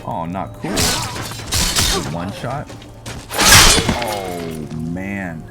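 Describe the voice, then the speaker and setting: A man giving casual, improvised gameplay commentary and roleplay story recap in an informal, halting way with trailing thoughts. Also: deep voice, big pitch swings, creaky. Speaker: gameplay host narrating a roleplay playthrough. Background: loud music.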